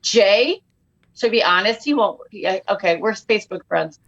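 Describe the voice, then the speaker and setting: A woman's voice: mid-range voice, lively intonation, moderate pace, vocal fry, a bit bright, husky, muffled recording. A middle-aged woman comedian chatting as a podcast guest, telling self-mocking, jokey stories with lots of energy. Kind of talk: casual podcast chat.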